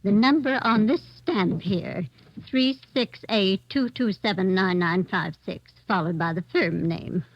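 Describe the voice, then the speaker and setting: An actress playing a fussy, exact American warehouse auditor, formal and officious, in a 1950s radio drama. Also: female voice, medium pitch, unhurried. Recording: dull vintage radio recording.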